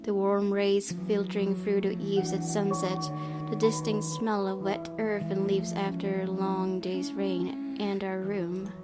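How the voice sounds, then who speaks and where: A young woman with a slightly raspy voice, a game streamer who reads a horror visual novel aloud in a hushed, dramatic way and breaks into hesitant asides. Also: medium pitch. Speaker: let's play host. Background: loud game music.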